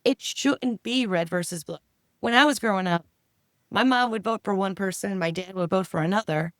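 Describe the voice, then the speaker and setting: A woman's voice: medium pitch, fairly bright tone, no echo, clean studio sound. A woman author being interviewed on a podcast, chatty and emphatic as she answers. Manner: chatty and emphatic, quick agreements. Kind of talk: casual interview talk.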